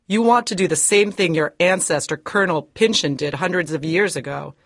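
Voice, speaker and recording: A male audiobook narrator voicing a bitter old woman who defends her brother, in a husky voice. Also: fairly high pitch, slow pace, voice pushed up. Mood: pleading, accusing. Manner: pleading, accusing. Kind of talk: audiobook character voice.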